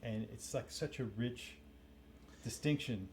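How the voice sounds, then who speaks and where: A man's voice: medium pitch, unhurried, a little hiss or hum. A man hosting a guitar class, chatting casually about music theory with his guest. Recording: dull lo-fi room capture, loud guitar. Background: guitar playing.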